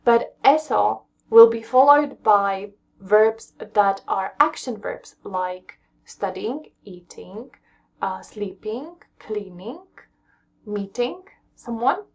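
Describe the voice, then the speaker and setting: Young English woman, gravelly, lo-fi. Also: medium pitch, animated, takes it slowly. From England. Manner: patient, explains step by step and checks in with "okay?". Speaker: Korean language teacher. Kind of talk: casual explanatory lesson.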